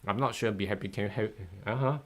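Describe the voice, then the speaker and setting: A man's voice: fairly low pitch, quick pace, fairly bright tone. Upbeat middle-aged man streaming live. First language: Mandarin. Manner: chatty, upbeat, often laughing and thanking viewers. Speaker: forex trading educator. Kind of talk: live-stream chart analysis.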